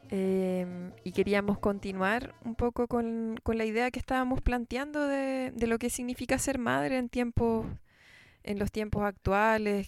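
A woman radio host introducing a guest and asking questions in a halting way, often restarting her sentences. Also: mid-range voice, a little mellow, no room sound. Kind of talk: radio interview.

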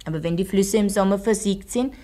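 An actress playing a brisk, didactic schoolteacher who quizzes her class in a radio drama. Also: medium pitch, warm tone, natural voice. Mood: instructive. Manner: didactic, leading questions, firm.

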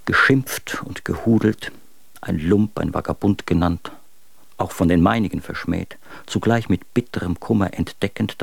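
An actor in a German radio drama playing an anguished, self-absorbed romantic poet, reciting in a literary, brooding manner with a husky voice. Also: male voice, low-pitched, no echo, exaggerated voice. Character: anguished romantic poet entangled in a scandalous affair.

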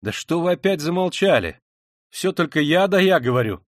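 A man's voice: medium pitch, expressive, darkish tone, breathy edge, no echo, studio quality. A man voicing an irritable, brooding young man in a storybook, talking in abrupt, agitated bursts. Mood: insistent. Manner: abrupt bursts of hurried, forced liveliness.

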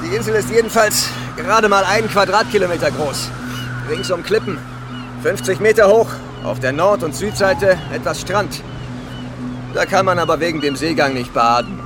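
A calm island survivor chief in a radio drama. His fairly high male voice is measured and patronizing, used to being obeyed. Music plays clearly behind him. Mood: grave, explanatory. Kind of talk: German radio drama acting.

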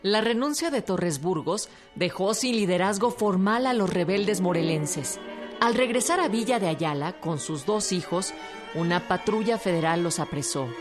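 A woman's voice: medium pitch, lively intonation, normal speed. A woman reading scripted historical narration for a radio drama. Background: music.

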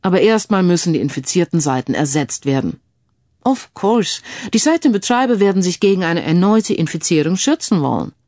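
A man's voice: high-pitched, animated, brisk, a little mellow, no echo. Male detective for audio crime story, read by a woman: smug tech expert, analytical, English catchphrases, dry close sound. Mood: matter-of-fact. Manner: peppers speech with English phrases.

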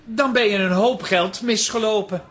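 An actor in a Dutch radio comedy playing an eager, flattering, smooth-talking man who soothes and helps. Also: high-pitched, moderate pace. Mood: helpful, persuasive.

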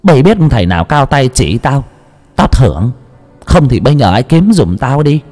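A shrill, haughty landlady of a rich house, played by a man. She barks orders and scorns others in a raised, lively voice. Faint music runs behind. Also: normal speed, in a large hall. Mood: bossy. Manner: bossy, scornful.

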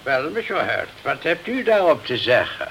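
A man's voice: medium pitch, very animated, normal speed, a bit bright. An actor playing a formal, guarded male police chief in a radio crime drama. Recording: noisy old radio play recording.

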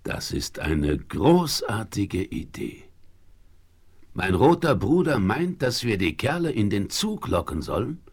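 A shrewd Western scout leader in a 1970s radio play. The voice is low and rough-edged, calm and deliberate, with quiet command. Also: male voice, exaggerated voice. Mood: decisive. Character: shrewd, calm frontiersman leader, cautious and decisive. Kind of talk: 1970s German audio drama acting.

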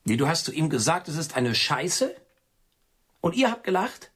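A probing, argumentative friend in a radio play. The voice is fairly high and husky, very animated in pitch, insisting on every fine distinction. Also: male voice, moderate pace, full character voice. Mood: incredulous. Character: probing, sceptical male friend who nitpicks every word.

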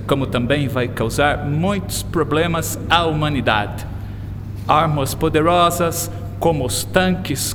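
Actor voicing a bold English novelist who warns about technology. He speaks slowly and solemnly, his voice fairly high for a man. The read comes from a hall with a long reverb. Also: noisy background, natural voice. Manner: measured, solemn, slips into English phrases. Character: bold visionary male English novelist, grave and warning. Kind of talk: radio drama acting.

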